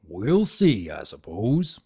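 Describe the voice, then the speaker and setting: A bashful, folksy farm stallion, as a woman reader puts him on. He speaks low and slowly, hesitating and trailing off between phrases. It is a gentle storybook character voice. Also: huge pitch swings, husky, full character voice. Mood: hesitant. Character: shy, slow-talking farm stallion. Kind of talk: dramatic audiobook reading.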